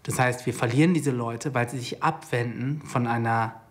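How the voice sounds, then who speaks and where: A man gives a formal expert statement for an interview. His voice is of average pitch, and he speaks deliberately, stressing the important words. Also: steady tone, a bit bright.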